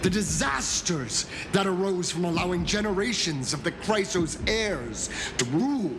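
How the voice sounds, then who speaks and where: A smug assassin leader from a video game, menacing under a veneer of courtesy. He speaks very slowly with theatrical pauses and a lively, swinging pitch. The voice rings in a long hall reverb. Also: background noise. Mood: scornful. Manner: drawling, mock-polite, theatrical pauses.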